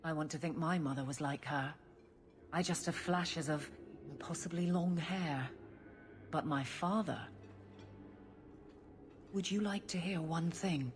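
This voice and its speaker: An actress playing a frank, protective friend in a video game, direct and quietly caring. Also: female voice, low voice, a little slow, pauses often. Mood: concerned. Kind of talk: dramatic video game acting.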